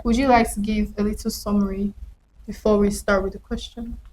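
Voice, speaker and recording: A young woman reads her own prose in a slow, reflective spoken-word style, then turns to asking questions as host. Her voice sits at an ordinary middle pitch. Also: little pitch movement, darkish tone, slight background noise, low-quality recording. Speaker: host and writer.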